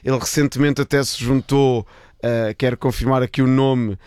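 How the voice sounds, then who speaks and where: A man in his thirties from Portugal chats as a guest author. He talks thoughtfully, with fillers and restarts. His voice is smooth and resonant, recorded dry and close. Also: mid-range voice, fairly even intonation, normal speed, a little mellow, dry recording. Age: 30s. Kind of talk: casual interview chat.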